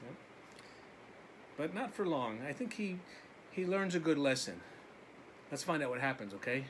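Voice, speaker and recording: A man's voice: a little high, big pitch swings, normal speed, fairly bright tone, a little hiss or hum. A man reading a picture book aloud as a teacher, with simple asides to his young listeners.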